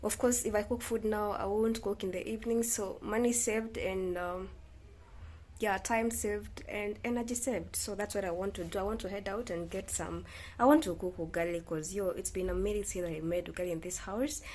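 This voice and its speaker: Chatty Kenyan woman with a warm voice. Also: mid-range voice, steady tone, moderate pace. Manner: rambling, self-correcting, mixes in Swahili. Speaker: vlogger. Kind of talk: casual chatty vlog.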